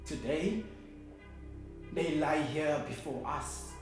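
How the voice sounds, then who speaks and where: An actor with a South African accent playing a grieving, bitter man who delivers a halting, emotive funeral eulogy as a theatrical monologue. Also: a little high. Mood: solemn, grieving. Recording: very echoey room, music behind.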